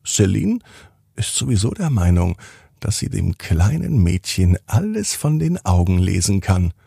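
A man's voice: low voice, sing-song, a little slow, vocal fry, warm tone, breathy edge, no room sound, clean studio sound. A man narrating a bedtime story for children as the host and storyteller.

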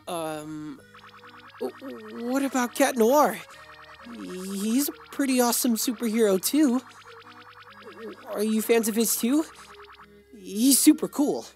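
A woman acts out a teenage cartoon fanboy, awkward and excitable. The voice is high for a boy and very animated. Faint music runs behind it. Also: slow pace, pauses often. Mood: shy. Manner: hesitant, then frantic. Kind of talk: comic dub cartoon acting.

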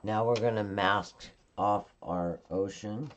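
Low-voiced woman teaching a craft. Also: slow, highs cut off. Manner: calm, instructive, narrating her hands-on work. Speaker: card-making instructor. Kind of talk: step-by-step craft tutorial. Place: home craft table.

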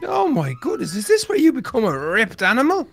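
Performer as cheeky baby cartoon character, swooping lively pitch, playful, exclamatory, game roleplay, music behind. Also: a little fast, creaky, exaggerated voice. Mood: amazed. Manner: playful, exclamatory, wide-eyed. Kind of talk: improvised Minecraft roleplay.

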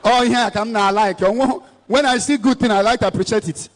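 Nigerian evangelist guy preaching outdoors, high quick voice, handheld mic, igbo and english mixed. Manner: switching between Igbo and English, emphatic and exhorting. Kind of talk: preaching at an outdoor church rally. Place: outdoor stage before a large crowd. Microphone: handheld microphone.

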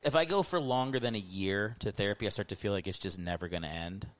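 A man in his thirties hosting a casual comedy podcast, animated and laughing often as he talks in quick bursts. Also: lowish voice, no echo. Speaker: podcast host.

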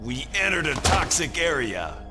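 A tough, sardonic male game hero. His voice sits a little high and moves around a lot in pitch, with a gritty, defiant edge over music. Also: sing-song, moderate pace. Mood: urgent. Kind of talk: video game cutscene acting.

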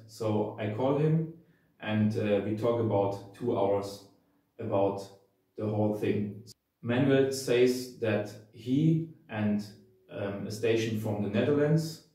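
A man in his thirties speaks slowly and casually to camera. His voice is mellow and fairly low, heard from a little distance in a very echoey room. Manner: spells out call signs in phonetic alphabet. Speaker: amateur radio operator and vlogger. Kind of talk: radio contact calls and casual talk to camera. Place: outdoors in open countryside, later a bare indoor room. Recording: windy noisy field or echoey room, distant.

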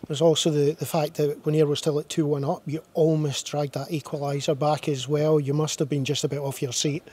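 A man's voice: on the high side, little pitch movement, fairly quick, a little mellow, breathy edge. A man interviewing football managers for a club's media channel, asking friendly leading questions. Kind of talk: post-match interview questions.

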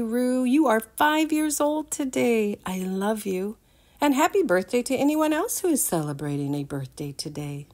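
Older woman reading slowly and expressively. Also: mid-range voice. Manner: deliberate, animated, addresses the listeners with questions. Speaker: children's storybook reader. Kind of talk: expressive read-aloud storytime for young children.

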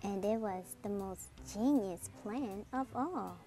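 A woman narrating a children's picture book aloud in an expressive storytelling style. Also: mid-range voice, takes it slowly. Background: music under the voice.

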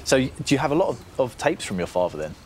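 A man's voice: mid-range voice, big pitch swings, racing, slight background noise. A man in his thirties chatting casually as a craftsman greeting a customer in a barn workshop. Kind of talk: casual chat. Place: large timber barn workshop.

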